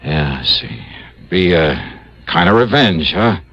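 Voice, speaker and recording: An actor playing a shrewd, skeptical investigator with a wry, clipped, questioning manner in an old radio detective drama. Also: male voice, low-pitched, animated, slow pace, fairly bright tone, husky, no echo, full character voice. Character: shrewd, skeptical, wisecracking male insurance investigator. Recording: dull old radio broadcast recording.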